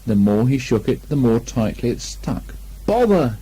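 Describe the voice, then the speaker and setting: A man reading a children's story aloud as an expressive narrator. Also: mid-range voice, moderate pace, slightly rough. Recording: lo-fi 1980 amateur recording.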